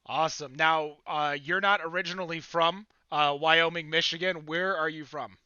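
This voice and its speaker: An upbeat man hosts a casual podcast over a video call, rambling with plenty of fillers. His voice is bright and a bit high. The sound is dry and muffled. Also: fairly even intonation, moderate pace, smooth, no room sound. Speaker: podcast host.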